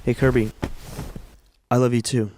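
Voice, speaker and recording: A man's voice: medium pitch, little pitch movement, normal speed, a little mellow, lightly acted. An actor playing an intense, lovesick man in love with a married woman, acted naturalistically for a radio drama. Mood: tender.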